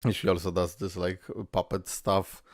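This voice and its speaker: A man whose first language is Polish, hosting a casual game reaction video and talking in an excitable, rambling way full of fillers. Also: deep voice, normal speed, no echo, clean studio sound.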